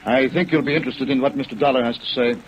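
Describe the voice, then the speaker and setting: An actor playing an uneasy, guilty attorney and husband, hesitant and subdued, in old radio drama. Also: male voice, mid-range voice, steady tone, racing, natural voice. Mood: grave, confessing. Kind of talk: 1940s-50s radio drama acting. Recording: muffled, noisy vintage radio recording.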